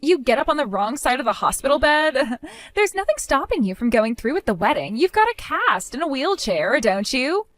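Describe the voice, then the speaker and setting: A woman's voice: sits high, very animated, normal speed, fairly bright tone. A woman voicing a spiteful, mocking young woman who giggles and taunts, then pleads when cornered. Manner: sing-song, dismissive, turns pleading.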